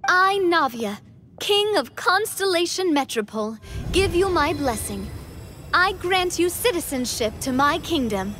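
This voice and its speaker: Casting: poised young game royal, high voice, lively melody, gracious and playful. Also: female voice, unhurried, a little hiss or hum, heavily acted. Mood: regal. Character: gracious young queenly woman, warm and playful. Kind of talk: video game voice acting.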